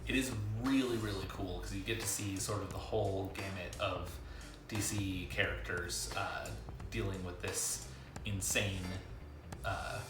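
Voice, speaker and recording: A man hosting a casual comic book review vlog, talking haltingly with pauses mid-sentence and wry, self-aware asides. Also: deep voice, fairly even intonation. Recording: echoey room, music underneath.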